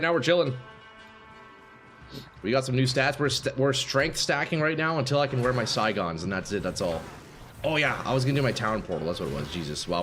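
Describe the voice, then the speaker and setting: A man streaming a game live, chatting casually with viewers, swearing and reacting loudly, his voice going a little hoarse. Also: mid-range voice. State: slightly hoarse, losing voice. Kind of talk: casual live-stream chat while playing. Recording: faint game music behind.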